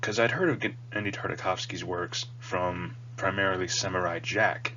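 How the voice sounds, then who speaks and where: A man with a low voice, a bit nasal and sniffly from a cold, hosts a casual review. He talks in an earnest, emphatic, wordy way. The recording is dull and lo-fi, with a faint hum behind him. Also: fairly bright tone. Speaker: video host reviewing a cartoon. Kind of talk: casual rambling review to the audience. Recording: dull lo-fi mic with audible hum.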